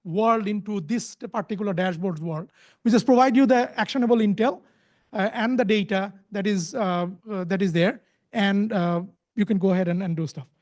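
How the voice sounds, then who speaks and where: Male conference presenter, strikingly high voice, stop-start delivery with many repeated words. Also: a little mellow. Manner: halting, repeats words and restarts phrases often. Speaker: conference speaker, company founder.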